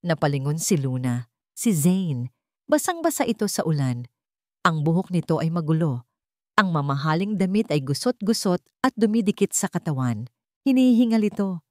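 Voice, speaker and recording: Casting: female storyteller, lowish pitch, solemn dramatic scripted narration, dry studio-like sound. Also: a little mellow, dry recording.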